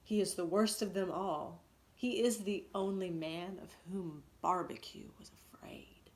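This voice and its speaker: A woman's voice: lowish voice, measured pace. A woman voicing a polite, eager, awestruck young English boy with old-fashioned manners in a storybook read-aloud. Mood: fearful, hushed. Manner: husky whispers when nervous, old-fashioned politeness.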